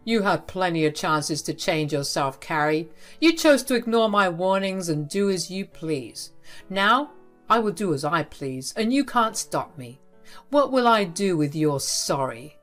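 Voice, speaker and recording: A woman's voice: on the low side, animated, unhurried, faint music behind. A woman voicing a stern, angry elderly father who scolds his grown daughter firmly. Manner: firm, scolding.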